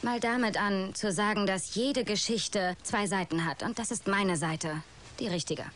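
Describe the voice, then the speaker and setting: A woman's voice: mid-range voice, a little slow, fairly bright tone, natural voice. An actress dubbing a self-assured teenage girl who narrates a film trailer in a wry, confident tone. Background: music.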